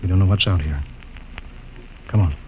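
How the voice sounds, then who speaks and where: An actor playing a brisk, authoritative male spaceship captain in a 1950s radio drama. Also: sits low, phone-quality sound, slight background noise, natural voice. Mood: wary.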